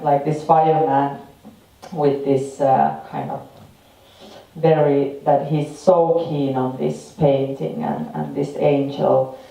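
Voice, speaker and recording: Loud, dark voice in a booming hall. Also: creaky. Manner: loud, frank, laughs easily. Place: cinema hall. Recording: echoey cinema hall from far off.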